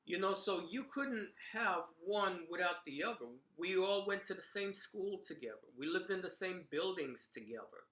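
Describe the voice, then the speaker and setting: Bright-voiced middle-aged New man. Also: sits high, animated, moderate pace, few pauses, echoey room, poor audio. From New York, Bronx. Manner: conversational, frequent "you know" and "right?". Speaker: commentator sharing memories. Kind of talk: casual monologue.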